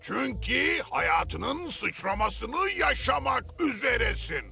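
Nutty boastful grandpa inventor for a cartoon, super gravelly and bright, grand exclamations. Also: male voice, extremely high pitch, sing-song, slow pace, heavily acted. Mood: triumphant, boastful. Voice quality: creaky, gravelly. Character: eccentric boastful old inventor grandfather, theatrical and excitable. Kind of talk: animated cartoon dub acting. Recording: faint music behind.

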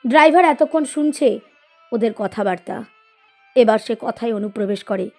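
A woman reading a scripted literary story as narrator, in an expressive storytelling style. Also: fairly high pitch, normal speed. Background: music.

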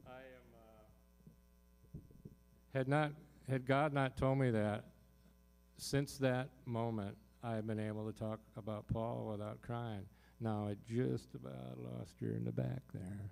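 A man's voice: mid-range voice, level tone, a little slow, gravelly, darkish tone. An older man talking casually to an audience from a small hall stage, joking and chatty into a handheld mic. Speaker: performer hosting a concert.

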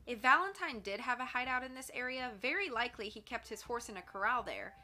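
A woman voicing a confident, practical young woman detective, brisk and friendly. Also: fairly high pitch, animated, bright, forceful tone.

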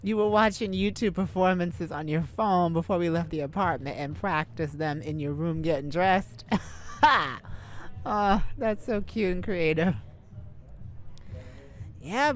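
A woman playing an outgoing, playful, sassy young best friend in an audio roleplay, theatrical and teasing, with drawn-out words. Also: mid-range voice, lightly acted. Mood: affectionate. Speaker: ASMR roleplay voice actor. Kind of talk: ASMR audio roleplay, addressed to a listener.

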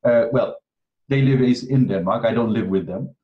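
Man from Kenya, thirties, hesitant, lots of ums, mid pitch, muffled video-call sound. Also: normal speed. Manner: hesitant, many ums and restarts. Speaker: guest astrology teacher. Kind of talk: casual interview talk. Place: home room, video call. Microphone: video call webcam. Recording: dull video-call audio.

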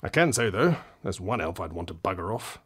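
An actor playing a weary male politician in his fifties who talks gruffly and swears often. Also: low-pitched, very animated, a little fast, fairly bright tone, exaggerated voice. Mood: rueful. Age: 50s. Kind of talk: radio comedy acting.

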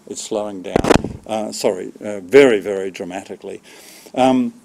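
Male, sixties, keynote lecturer at a research seminar. Medium pitch, measured and halting phrasing, podium mic. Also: darkish tone. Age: 60s. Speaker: keynote speaker, agricultural economics researcher. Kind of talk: academic seminar lecture from slides. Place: seminar room with small audience, indoors. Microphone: podium microphone.

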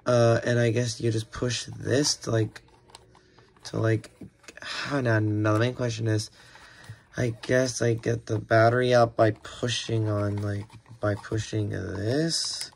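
A man's voice: lowish voice, little pitch movement, slow pace. A man giving casual, unscripted unboxing commentary in a hesitant, rambling way, often correcting himself. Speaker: unboxing video host. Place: indoors at a table. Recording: clear background noise, handling clicks.

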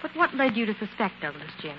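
Actress as keen radio detective's sidekick, muffled recording. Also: female voice, mid-range voice, big pitch swings, quick pace, natural voice. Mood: curious, keen. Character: inquisitive, keen female detective's assistant. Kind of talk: 1940s radio acting. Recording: dull, hissy 1940s radio recording.

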